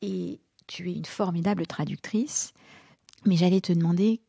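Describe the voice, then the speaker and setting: Podcast host, woman, husky and mellow, casual questioning on a dry mic. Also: lowish voice, fairly even intonation, normal speed, no echo. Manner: friendly, informal questioning with hesitations. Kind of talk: casual conversational interview.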